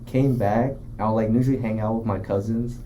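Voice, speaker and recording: Rambling young man, dark mellow voice. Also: lowish voice, fairly even intonation, brisk, slight background noise. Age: young adult. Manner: rambling, filler-heavy. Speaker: podcast guest. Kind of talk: casual chat.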